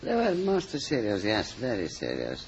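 An older man with an Italian accent, a cooking teacher telling stories in an interview, halting and full of fillers and restarts. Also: fairly low pitch, wildly swooping pitch, slight background noise. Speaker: cooking school teacher, guest. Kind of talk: interview answers, storytelling. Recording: slightly dull, muffled recording.

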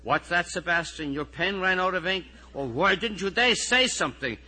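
An Irish man voicing a harried, exasperated high school teacher in a storytelling reading, loud and pleading with his pupils. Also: lively intonation, normal speed, heavily acted. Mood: weary, sarcastic. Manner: loud, pleading, repetitive questioning.

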